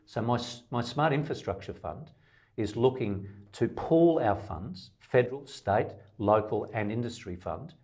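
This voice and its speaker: A man's voice: mid-range voice, lively intonation, a little slow, a little mellow. An Australian man, a middle-aged independent candidate, answering interview questions emphatically in long lists, with some stammered restarts.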